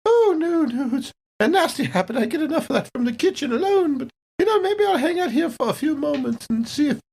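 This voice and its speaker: A woman's voice: high voice, lively intonation, fairly quick, a little mellow. A woman playing a genteel, fussy older lady with proper, coy politeness in a tabletop role-play. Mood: coy, fluttery. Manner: proper, fluttery, old-fashioned politeness.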